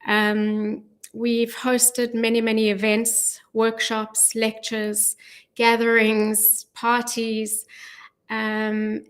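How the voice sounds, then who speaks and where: A middle-aged woman speaking as a guest in a radio studio interview, earnest and measured, with frequent ums, on a studio microphone. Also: fairly high pitch. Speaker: guest, charity volunteer. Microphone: studio mic with headphones.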